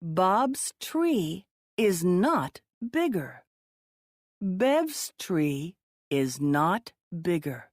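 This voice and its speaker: A woman narrates a children's story at an extremely unhurried pace. Her pitch moves in a lively, expressive way, with simple phrasing suited to young children. The recording is dry and close, with hardly any room sound. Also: dry recording.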